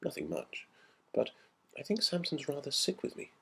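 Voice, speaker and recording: Man playing a husky, chatty, puzzled schoolboy. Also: on the high side, huge pitch swings, moderate pace, sharp tone, pitched above the performer's own voice, exaggerated voice. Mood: evasive, casual. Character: schoolboy recounting an odd episode, puzzled and earnest. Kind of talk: audiobook character dialogue in a ghost story.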